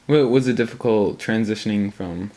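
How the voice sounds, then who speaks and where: A man's voice: fairly low pitch, normal speed, gravelly, dark tone. A young man interviewing a relative, hesitant, stumbling through his questions. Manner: hesitant, many fillers and restarts. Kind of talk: casual family interview.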